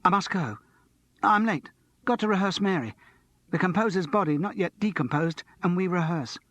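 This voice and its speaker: A man reading aloud and voicing an agitated, manic young male student who swings between wild laughter and quiet. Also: lively intonation, normal speed, dry recording. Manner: jittery, laughing, high-strung. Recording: slightly muffled dry recording.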